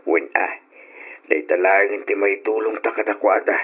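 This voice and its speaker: A man playing a troubled elderly father on a pension, husky and hesitant, in an old-style radio drama. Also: fairly low pitch, steady tone, normal speed, fairly bright tone. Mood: resigned, concerned. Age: older. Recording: muffled radio recording.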